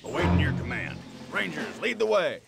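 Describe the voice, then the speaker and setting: An actor voicing a gruff army ranger in a strategy game, barking short unit acknowledgements. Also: male voice, fairly high pitch, big pitch swings, moderate pace, heavily acted. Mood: gung-ho. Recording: game sound over loud music.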